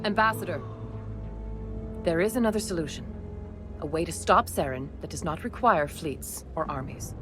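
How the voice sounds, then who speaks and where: A woman acting a calm, dignified alien councilor in a video game, speaking in a formal, measured way. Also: sits low, music in the background. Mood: formal, solemn.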